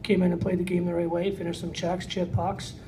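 Fast, high-pitched man coaching, distant recording. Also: completely flat delivery, vocal fry. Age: middle-aged. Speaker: assistant ice hockey coach. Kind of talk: post-game press conference remarks. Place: indoor press conference room. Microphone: far camera. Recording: distant camera mic, noisy room.

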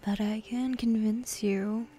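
A young woman voicing a bossy, teasing demon woman in an intimate, half-whispered ASMR roleplay, with a husky, breathy voice and a sardonic drawl. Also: a little high, steady tone, slow, mellow, a little hiss or hum. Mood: coaxing, playful.

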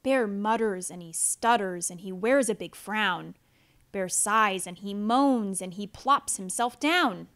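A woman narrating a children's picture book in an expressive read-aloud style, with deliberate dramatic pauses. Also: mid-range voice. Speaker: storybook narrator.

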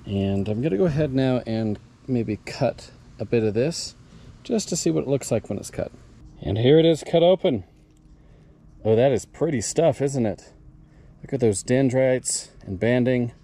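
A man's voice: mid-range voice, expressive, normal speed, warm tone, husky. A man vlogging outdoors, enthusiastically showing fossils and cut rocks to his viewers in casual show-and-tell narration. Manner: enthusiastic, talks to viewers while handling rocks. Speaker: rockhounding vlogger. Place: outdoors at a mine site and a backyard. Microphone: action camera mic.

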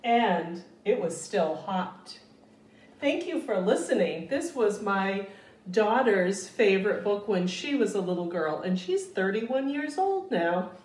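Storybook reader: woman, 50s, slow and very animated, recorded roomy and slightly distant. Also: mid-range voice. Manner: deliberate, expressive storytelling delivery. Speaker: elementary school staffer reading aloud to children. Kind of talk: read-aloud of a children's picture book. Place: small living room at home, indoors. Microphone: phone camera a few feet away. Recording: roomy, distant phone-video sound.

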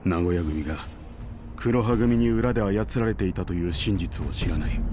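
A man voicing a grave, resolute man in a dramatic game cutscene, speaking solemnly and deliberately. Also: low voice, background noise, lightly acted. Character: grave, resolute man ready for a fatal showdown.

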